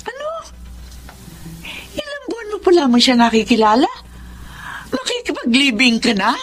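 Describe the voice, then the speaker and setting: A woman's voice: high-pitched, normal speed, heavily acted. A woman with a Filipino accent acting a doting, easily shocked middle-aged mother in a radio drama. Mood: shocked, scolding. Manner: expressive, motherly, exclaiming.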